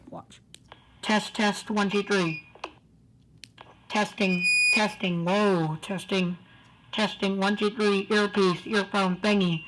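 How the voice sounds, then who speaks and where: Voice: medium pitch, a little hiss or hum. A person giving a casual, rambling hands-on gadget review in an informal way. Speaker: product reviewer. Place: carpeted home living room.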